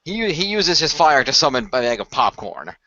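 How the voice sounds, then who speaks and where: A man's voice: mid-range voice, sing-song, normal speed, bright, forceful tone, no room sound, highs cut off. A man hosting and narrating an amateur fan radio play in a casual way.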